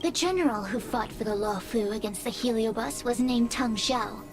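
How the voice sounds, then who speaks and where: A woman's voice: on the high side, normal speed, music in the background, natural voice. A woman voicing a proud, mocking fire spirit in a video game, speaking in a theatrical storyteller's manner. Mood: grand storytelling. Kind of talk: video game character acting.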